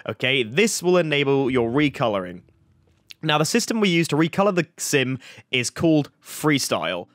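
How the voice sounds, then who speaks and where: A man with a croaky voice giving a chatty tutorial voice-over, full of fillers like "like" and "uh". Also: on the high side, big pitch swings, normal speed, fairly bright tone, dry recording, studio quality. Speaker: flight sim YouTuber.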